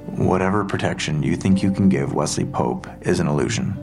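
Police detective, bass voice, creaky, calm and blunt, radio drama, music behind. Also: male voice. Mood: blunt, controlled. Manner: measured, deliberate, grave. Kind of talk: dramatic interrogation dialogue. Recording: music under the voice.

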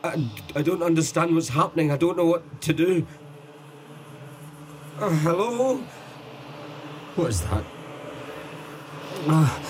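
A man playing a shell-shocked lone survivor astronaut in audio fiction, stammering and halting as he speaks. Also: fairly high pitch, animated. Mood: distraught, dazed. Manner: stammering, halting, breathless pleading. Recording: loud vehicle ambience noise behind.

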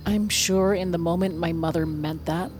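An actress playing a woman who grimly looks back on her haunted childhood, narrating in a measured, somber way. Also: on the low side, steady tone, over music, natural voice. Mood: somber, reflective. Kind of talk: scripted first-person drama narration.

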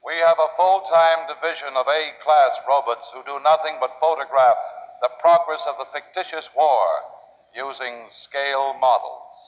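An actor playing a calm, logical robot leader in a 1950s radio drama, speaking in a formal, measured, instructive way. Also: male voice, medium pitch, lo-fi sound, lightly acted. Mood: calm, explaining. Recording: old radio broadcast, long hollow reverb, band-limited.

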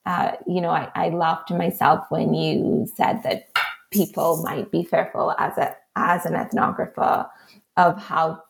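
A woman with a Trinidadian accent, an author answering interview questions in a thoughtful, measured way. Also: low-pitched, flat delivery, gravelly. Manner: thoughtful, measured, frequent "right?" and "um". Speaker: author and researcher, interview guest.

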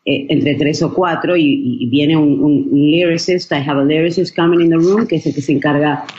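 A woman's voice: low-pitched, normal speed, noisy background. Chatty Argentine woman, muffled sound. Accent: Argentine Spanish. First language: Spanish. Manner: chatty, many restarts and fillers, mixes in English phrases. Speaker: songwriter guest. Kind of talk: casual interview talk. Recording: dull muffled recording.

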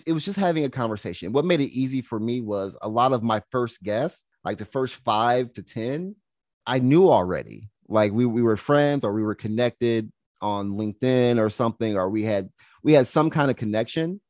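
A young man, a health coach, chatting casually in an interview, relaxed and laughing easily, with plenty of "you know"s. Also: medium pitch, no room sound, studio quality. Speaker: health coach and podcast guest.

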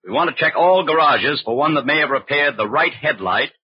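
A man playing a calm, businesslike federal agent in a 1940s radio crime drama, clipped and matter-of-fact. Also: a little high, brisk, husky. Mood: decisive. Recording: dull old radio recording.

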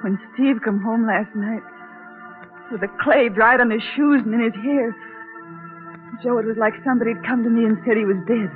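A woman's voice: on the high side, fairly even intonation, moderate pace, faint music behind, close to an everyday voice. An anxious middle-aged wife and mother played by an actress in a 1940s radio drama. She pleads in plain, emotional, earnest working-class speech. The recording is thin and narrow, like an old broadcast. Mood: anguished.

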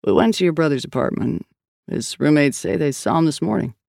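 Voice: medium pitch, lively intonation, brisk, creaky, darkish tone, no echo. An audiobook narrator playing a weary, skeptical male police partner, blunt and dryly sardonic. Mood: reluctant, placating. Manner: dry, sardonic, reluctant.

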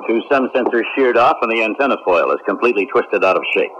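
Low male voice, actor as detached clone crewman, stammer, formal delivery, muffled old radio drama. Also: fast, lightly acted. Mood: businesslike. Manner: flat, formal, deferential. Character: emotionless male human clone astronaut, dutiful and clipped. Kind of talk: 1970s science fiction radio drama acting. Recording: muffled, noisy 1970s radio recording.

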